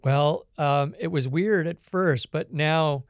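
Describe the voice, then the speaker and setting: A Canadian man, a podcast guest, telling his life story in a relaxed, reflective way with many small pauses. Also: fairly high pitch, dry recording, studio quality. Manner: reflective storytelling with frequent ums. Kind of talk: conversational interview.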